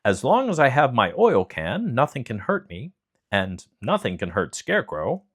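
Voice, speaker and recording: A man voicing a gentle male tin woodman in a raised, reassuring tone. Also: mid-range voice, huge pitch swings, normal speed, breathy edge, voice pushed up, full character voice.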